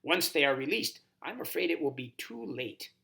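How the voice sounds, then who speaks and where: A man's voice: fairly high pitch, animated, normal speed, vocal fry, pushed and bright, heavily acted. A man voicing a wise horned toad mentor in a children's storybook, grave and instructive with somber warnings. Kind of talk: audiobook character reading.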